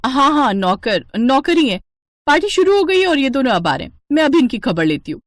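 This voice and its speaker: A woman's voice: high voice, big pitch swings, a little fast, pitched above the performer's own voice. A woman voicing a status-conscious, evasive wealthy wife in a cartoon moral story, with a placating manner. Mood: flustered. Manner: placating, excuse-making.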